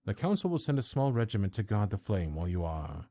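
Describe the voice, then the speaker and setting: Man acting a mellow, dark-voiced imperious fantasy elder. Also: on the low side, very animated, no room sound, studio quality. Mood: grave, commanding. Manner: formal, archaic, haughty and deliberate. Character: stern, imperious old high councilor of a fantasy realm. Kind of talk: fantasy audio roleplay acting.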